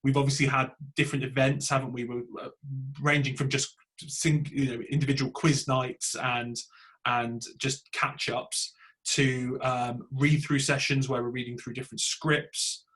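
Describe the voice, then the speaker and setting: A man's voice: medium pitch, little pitch movement, moderate pace, fairly bright tone. British man hosting a casual discussion. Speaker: podcast host.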